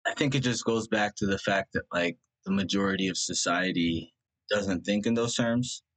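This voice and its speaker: A young man from India, Punjabi his first language, talks as a guest in a rambling, searching way, leaning on "like" and starting over often. His voice sits a little low. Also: moderate pace, fairly bright tone. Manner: rambling, full of "like" and restarts. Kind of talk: reflective personal conversation.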